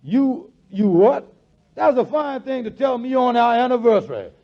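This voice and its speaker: Blustering middle-aged schemer, high voice, animated, folksy stammer, vintage radio comedy, dull lo-fi sound. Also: male voice, normal speed, full character voice. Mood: indignant. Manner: stammering, folksy, nonstandard grammar. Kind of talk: 1940s radio comedy acting. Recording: muffled vintage 1940s radio broadcast.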